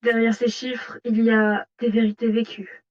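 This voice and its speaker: An earnest investigative news presenter played by a woman, grave and scripted. Her voice is fairly high, in a slightly dull, lo-fi recording. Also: level tone, normal speed, natural voice. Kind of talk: scripted mock news report. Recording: dull lo-fi sound.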